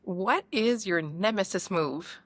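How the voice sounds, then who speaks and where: A young woman with a French Canadian accent, hosting a podcast and asking quick, upbeat questions. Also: medium pitch, lively intonation, dry recording. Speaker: podcast host. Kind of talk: quick-fire interview questions.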